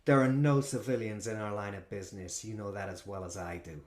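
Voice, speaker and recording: A man's voice: lowish voice, steady tone, a little fast, close to an everyday voice. Man voicing a coldly polite vampire. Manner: politely menacing. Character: cold, cordial vampire, menacing and calm.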